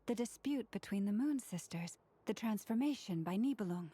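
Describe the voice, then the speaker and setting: A woman playing a calm, observant companion in a video game, speaking in a reflective, slightly formal way. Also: medium pitch, moderate pace, breathy edge. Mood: thoughtful. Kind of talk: video game character acting. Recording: background music.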